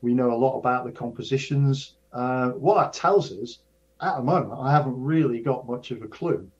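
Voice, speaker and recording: An older man, a professor of astrophysics, explaining research in a measured way, often restarting and repeating his words. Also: medium pitch. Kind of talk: casual explanatory interview. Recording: dull lo-fi sound.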